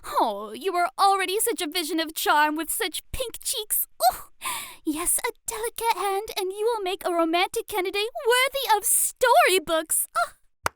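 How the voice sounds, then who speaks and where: A woman's voice: very high voice, animated, moderate pace, a bit bright, no room sound, clean studio sound. A woman voicing an exuberant, refined etiquette teacher in an ASMR roleplay, with theatrical, gushing delivery and elegant, formal diction. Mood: delighted.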